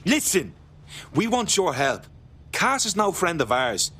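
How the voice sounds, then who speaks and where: A man's voice: sits high, sing-song, a little slow, sharp tone, slightly rough, exaggerated voice. A man playing an urgent, pleading resistance operative with a British accent in a video game cutscene. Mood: persuasive. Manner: urgent, persuasive.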